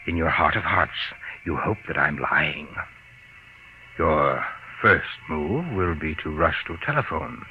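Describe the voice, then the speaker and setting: An actor playing a smug, possessive, murderous husband in a 1940s radio thriller, suave, condescending and theatrical. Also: male voice, low-pitched, creaky, exaggerated voice. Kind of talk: 1940s radio suspense acting. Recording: noisy, muffled old radio recording.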